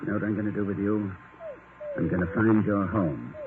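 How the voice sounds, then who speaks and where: An actor playing a courteous, wordy married college doctor who is irritated and wry, in 1950 radio comedy style. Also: male voice, lowish voice, a little fast, natural voice. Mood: wry exasperation. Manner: genteel, flustered, wry. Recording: noisy narrow-band vintage radio recording.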